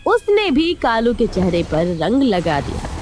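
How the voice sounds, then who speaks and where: A woman narrates a cartoon moral tale from a script. Her voice sits on the high side and moves with lots of melodic swing. There is light music in the background. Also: huge pitch swings, a little slow, a little mellow. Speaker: storyteller, narrator.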